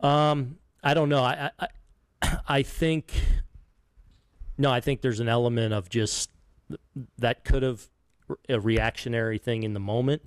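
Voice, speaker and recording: A man in his fifties, a baseball manager at a postgame press conference, answering in a halting, soft-spoken way with many restarts, sounding heartbroken. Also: mid-range voice, fairly even intonation, smooth, no echo. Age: 50s. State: heartbroken, subdued. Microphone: table microphone.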